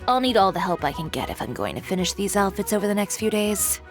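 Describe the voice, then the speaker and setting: An actress playing a cool, blunt young fashion designer in a video game, speaking in a composed, dry-witted, brisk way. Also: female voice, medium pitch, big pitch swings, soft background music, natural voice. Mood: businesslike.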